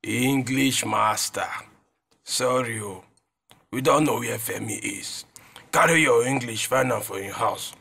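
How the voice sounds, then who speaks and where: A man's voice: medium pitch, a little slow, creaky, pushed and bright, breathy edge, full character voice. A man with a Nigerian accent playing a sarcastic, teasing young idler in a radio drama.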